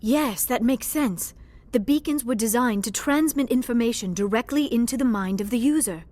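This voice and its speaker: An actress playing an earnest young alien researcher in a video game, speaking in a formal, precise, measured way. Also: female voice, on the high side, a little mellow, close to an everyday voice. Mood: intent, scholarly. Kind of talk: scripted video game dialogue.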